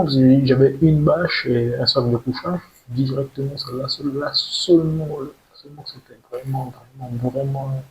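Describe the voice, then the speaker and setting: Halting young man over noticeable hiss. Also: medium pitch. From French Guiana. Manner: hesitant, halting, often repeats words. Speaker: video creator telling his own story. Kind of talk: unscripted personal monologue. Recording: steady hiss, noisy amateur recording.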